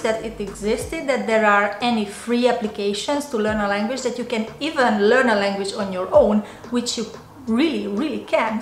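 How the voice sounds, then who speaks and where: A woman's voice: fairly high pitch, a bit bright. A woman in her twenties with a Hungarian accent, a YouTuber earnestly explaining tips to camera from her home office. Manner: earnest, explaining step by step, slight non-native phrasing. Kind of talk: casual to-camera tips vlog. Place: small home office indoors. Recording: roomy home office, faint music.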